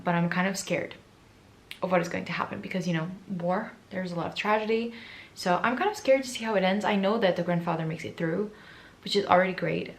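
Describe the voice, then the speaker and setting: A woman in her twenties chatting casually to camera in a book vlog. Her voice is raspy and hoarse from allergies, and she is chatty, excitable and self-mocking. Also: on the low side. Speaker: book vlogger.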